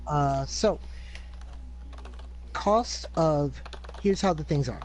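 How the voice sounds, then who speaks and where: A man, a player, reading out rules step by step, methodical, with hesitant pauses. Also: on the high side, darkish tone, slight background noise. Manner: methodical, plain explaining with hesitant "uh"s.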